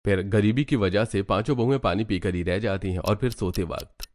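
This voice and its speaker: A man's voice: fairly low pitch, a little fast, darkish tone. A man narrating a scripted cartoon story as its storyteller.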